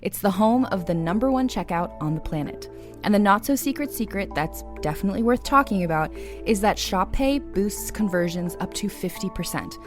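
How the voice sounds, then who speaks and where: A woman's voice: medium pitch, animated, moderate pace, music in the background. A woman delivering a scripted podcast sponsor read. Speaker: podcast network ad reader.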